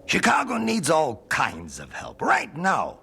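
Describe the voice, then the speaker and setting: An actor playing a brisk, forthright civic committee man in a radio drama, businesslike and persuasive. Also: on the high side, wildly swooping pitch, vocal fry, pushed and bright, slight background noise, full character voice. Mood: brisk, urging.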